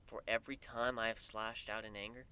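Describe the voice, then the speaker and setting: A man's voice: lowish voice, little pitch movement, brisk, sharp tone, clear, resonant tone. A man voicing an angry, weary male griffin with an intense, emphatic delivery in a dramatic story reading. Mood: impassioned. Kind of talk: dramatic fan fiction reading.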